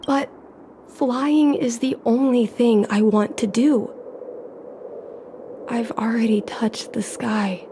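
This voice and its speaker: A woman's voice: fairly high pitch, steady tone, slow, pauses often, background noise. A woman voicing an earnest, proud young aspiring pilot in an English video game dub, telling things expressively. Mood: earnest, resolute. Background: background music.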